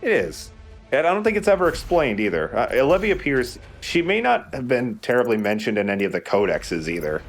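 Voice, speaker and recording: A man's voice: medium pitch, big pitch swings, fairly quick, faint music behind. A man hosting a let's play, chatting casually and explaining the game with dry sarcasm. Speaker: let's play host and player. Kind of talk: casual gaming commentary. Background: video game ambience.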